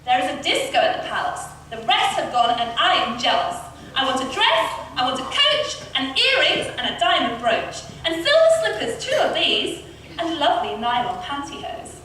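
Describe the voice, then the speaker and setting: A woman's voice: sits high, wildly swooping pitch, normal speed, pushed and bright, full character voice. A woman voicing a brash, whiny, demanding young Cinderella in a comic rhyming tale. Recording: distant echoey hall, faint noise.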